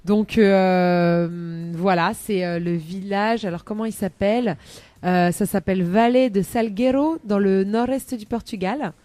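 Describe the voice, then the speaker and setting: A woman's voice: medium pitch, fairly even intonation, measured pace, dark tone, no echo. A woman chatting on a live radio show as a columnist, rambling with many hesitations and laughing often, into a studio microphone.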